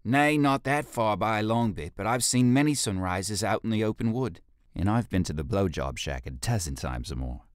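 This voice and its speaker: A man's voice: lowish voice, lively intonation, normal speed, dry recording, clean studio sound, voice pushed up, lightly acted. A man voicing a scruffy male ape watchman in an audiobook, servile yet cheeky and rustic in manner. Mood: defensive, boastful.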